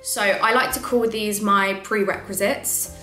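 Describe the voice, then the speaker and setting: A woman's voice: mid-range voice, steady tone, measured pace, pushed and bright, echoey room, soft background music, low-quality recording. Young woman explaining step by step. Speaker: coding vlogger. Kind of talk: casual tutorial talk to camera. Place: home office with desk.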